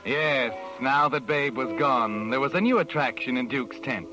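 A man playing a knowing, sardonic mystery narrator in a 1940s radio drama, with dramatic, measured storytelling. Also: mid-range voice, lively intonation, close to an everyday voice, rough recording. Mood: wry, ominous. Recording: vintage radio broadcast over music.

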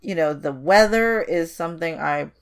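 A woman's voice: deep voice, moderate pace, smooth. A woman from Indonesia co-hosting a podcast, chatting informally and repeating words for emphasis. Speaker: podcast co-host. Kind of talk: casual conversational chat.

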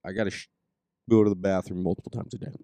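A laid-back man hosting a podcast, his voice sitting fairly low and sounding close and dry. He rambles along with fillers and the odd swear word, easygoing and unpolished. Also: fairly even intonation, fast talker, mellow, no room sound. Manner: loose, rambling, filler-heavy, swears casually. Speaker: podcast host. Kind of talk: casual podcast chat.

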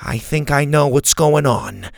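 A man voicing a feisty, no-nonsense old grandmother in a storybook, brisk and bossy. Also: a little high, vocal fry, no echo, clean studio sound, pitched above the performer's own voice. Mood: purposeful. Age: older.

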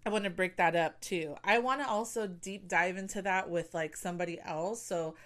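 A woman's voice: on the low side, normal speed, fairly bright tone. A young woman hosting her own show, talking candidly in a casual personal monologue, full of "like" and "you know". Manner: candid, chatty, heavy use of "like" and "you know". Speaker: podcast host.

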